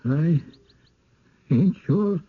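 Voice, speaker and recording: An actor playing a fearful rural village handyman in a radio drama, with a hesitant, folksy rural American drawl and a gravelly, husky voice. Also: fairly high pitch, lively intonation, very slow, exaggerated voice. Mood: nervous. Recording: dull, muffled old radio recording.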